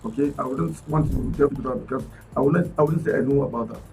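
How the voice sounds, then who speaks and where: A man speaking as an activist guest on a radio panel, quickly interjecting. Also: mid-range voice, recorded from a distance, music in the background. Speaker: studio guest, activist and politician. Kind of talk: panel discussion.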